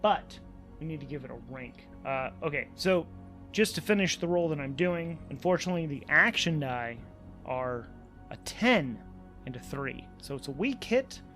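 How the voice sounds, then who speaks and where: A man narrating a solo tabletop role-playing game as game master, improvising haltingly and often repeating words. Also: fairly high pitch, animated, a bit bright, soft background music.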